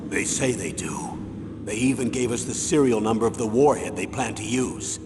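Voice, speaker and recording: An actor playing a grave, authoritative older military commander, giving a measured, serious mission briefing in a video game. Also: male voice, fairly low pitch, close to an everyday voice. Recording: long reverb, clear background noise.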